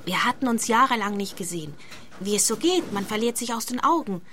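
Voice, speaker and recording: Actress doing young woman in a radio play, chatty n curious, medium pitch, some noise behind her. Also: animated, fairly quick, a bit bright, lightly acted. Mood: earnest, chatty. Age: young adult. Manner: conversational, inquisitive. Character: puzzled, chatty young woman seeking old friend.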